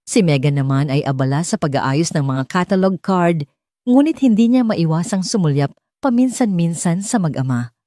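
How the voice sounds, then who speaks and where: Mellow, even-toned woman narrating a romance. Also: on the low side, moderate pace, no room sound, studio quality. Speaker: audiobook narrator. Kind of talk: scripted romance story narration.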